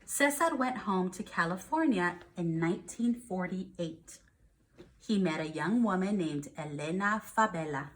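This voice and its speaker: A woman's voice: on the low side, expressive, a little slow, low-quality recording. American woman teacher reading to young children. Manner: simple child-directed explaining, checks in with questions. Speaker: first grade teacher. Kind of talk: read-aloud with explanations to young children.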